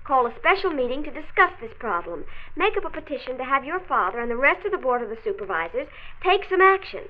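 An actress with an American accent playing a spirited, sensible teenage high school girl in a late 1940s radio drama. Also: sing-song, quick pace. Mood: determined. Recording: dull, hissy old transcription disc.

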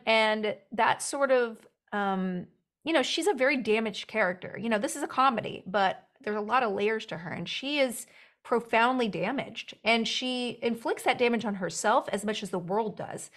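A woman in her forties with a youthful-sounding voice talks into a headset mic from a home room lined with bookshelves. She is chatty and animated and laughs between quick asides. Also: fairly high pitch. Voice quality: young-sounding voice. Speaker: actor and writer guest. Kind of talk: casual interview chat.

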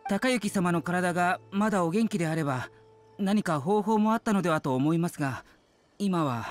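A man's voice: high voice, normal speed, faint music behind, lightly acted. A man voicing a loyal young servant in an anime game, earnest, anxious and deferential. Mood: regretful.

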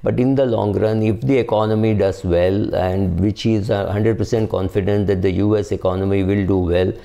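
An older man with an Indian English accent, explaining investing to camera at home in a measured, unscripted way with many fillers. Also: on the low side, fairly even intonation, vocal fry, warm tone. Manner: measured, explanatory, frequent "uh" fillers and "right?" tags. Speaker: personal finance video host. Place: home study with bookshelves, indoors.

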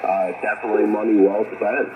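A man's voice: fairly low pitch, level tone, fairly quick. An American man chatting casually as a ham radio operator, hesitant and complimentary, heard over the radio. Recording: tinny, noisy shortwave radio reception.

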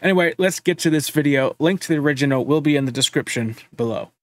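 A man in his thirties hosting a music reaction video from a home studio, talking in a relaxed, chatty way with frequent filler words. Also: a little high, fast, no echo. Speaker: reaction channel host. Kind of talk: casual music reaction commentary.

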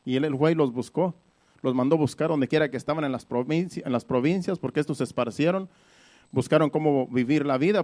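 A man's voice: mid-range voice, darkish tone. A man preaching in a conversational Bible-teaching style, explaining things by repeating and rephrasing his points.

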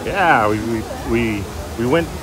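A man's voice: medium pitch, takes it slowly, rough recording. A man casually narrating a walking vlog at an outdoor theme park, chatty and upbeat as he points things out. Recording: loud crowd and music outdoors. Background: park music and crowds.

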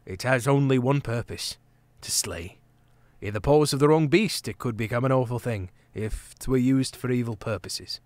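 A man voicing a calm, noble male mouse warrior with a low, steady, solemn delivery. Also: normal speed, no room sound, close to an everyday voice.

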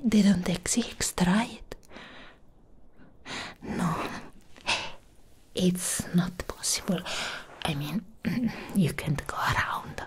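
A young woman voicing a possessive, lovesick vampire ex-girlfriend in a breathy, coaxing ASMR whisper, close to the microphone. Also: medium pitch, a little mellow, exaggerated voice. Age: young adult. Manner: slow, coaxing, clingy. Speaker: ASMR roleplayer.

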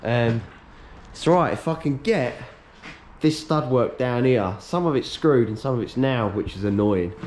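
A man in his thirties, slightly hoarse, chatting casually to a head-mounted camera while he strips out his workshop. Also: medium pitch, normal speed, darkish tone, slight background noise. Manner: chatty, upbeat, matter-of-fact while working. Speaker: workshop owner vlogging. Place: large workshop being stripped out, indoors. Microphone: head-mounted action camera.

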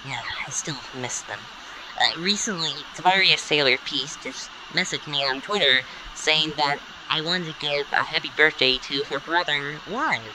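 A man's voice: fairly high pitch, moderate pace, natural voice. Man playing nervous, friendly young-sounding cartoon guy; stammers, hesitant delivery, noisy recording with ambience. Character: Derek, nervous, friendly male cartoon character. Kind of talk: in-character birthday message.

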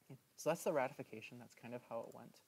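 A man teaching a history lesson over slides in a casual, chatty way, filling pauses with "um" and checking in with "right?". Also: mid-range voice, slightly rough, poor audio. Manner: chatty, many "um"s and "right?" asides.

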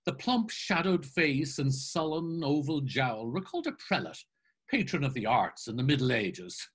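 An older actor reading a novel aloud dramatically over a video call, with expressive, theatrical narration. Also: male voice, mid-range voice, normal speed, slightly rough. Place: home room, video call. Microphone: webcam on video call.

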